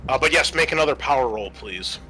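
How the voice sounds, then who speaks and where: Male game master, bright edge, halting rules explanations, music underneath. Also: mid-range voice, expressive, husky. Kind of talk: running a tabletop roleplaying game, improvised rulings.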